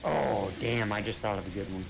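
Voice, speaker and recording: A man's voice: on the low side, a little fast, gravelly, background noise. A man co-hosting a gaming video in casual chat, rambling as he thinks aloud and repeats his phrases.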